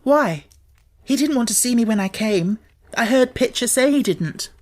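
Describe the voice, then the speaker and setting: A man's voice: extremely high pitch, expressive, moderate pace, a little mellow, voice pushed up. A man voicing a stubborn, eager little girl in an audiobook, speaking with earnest determination. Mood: startled, relieved.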